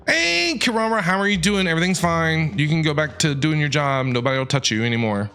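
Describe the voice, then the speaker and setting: A man giving casual, playful and excitable live reactions as he streams a game. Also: a little high, brisk, slight background noise. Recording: game music behind the voice.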